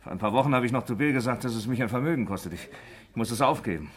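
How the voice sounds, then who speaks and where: A man's voice: medium pitch, lively intonation, racing, a little hiss or hum, close to an everyday voice. An actor playing an obliging, slightly hesitant man who repeats his words, in a 1960s German radio crime drama. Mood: affable, hesitant. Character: obliging, slightly hesitant male café regular, a smoker.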